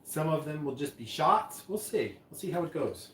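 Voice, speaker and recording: A man's voice: on the high side, lively intonation, normal speed, a bit bright, a little hiss or hum. A man hosting a live stream, chatting in a rambling, casual way about drinks. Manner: rambling, chatty, many restarts. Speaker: livestream host mixing drinks. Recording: echoey room, voice from far off.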